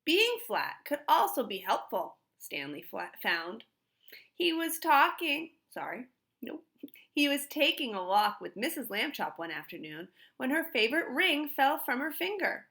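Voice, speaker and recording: A woman reading a children's storybook aloud in an expressive style. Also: sits high, measured pace. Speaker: storybook reader.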